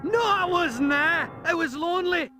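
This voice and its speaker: A man playing the excitable, mournful ghost of a Scottish laird in a cartoon game, with a theatrical, sentimental delivery. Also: very high-pitched, unhurried, full character voice. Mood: wistful. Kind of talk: animated game cutscene acting.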